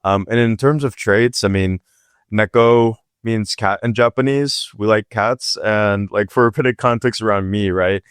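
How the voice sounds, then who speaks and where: Casual founder type, man, low and smooth, lots of "like", dry close sound. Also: moderate pace, no room sound. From Pakistan. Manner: casual, filler-heavy, lots of "like" and "right?". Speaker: guest, startup founder. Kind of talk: casual podcast interview.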